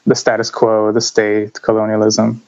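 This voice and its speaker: Measured man, smooth voice, dull lo-fi audio. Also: on the low side, monotone, darkish tone. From Israel. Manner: measured, thoughtful, with hesitant "uh" pauses. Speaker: guest, writer and YouTuber. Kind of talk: interview answers.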